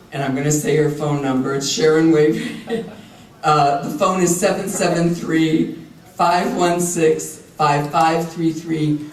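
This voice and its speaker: A higher-voiced man pitches his loan program with an upbeat, joking manner. He sounds distant in an echoey hall with faint background noise. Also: a little mellow. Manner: upbeat, jokey, pitching services. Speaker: lending program director on a panel. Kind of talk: panel discussion, informational.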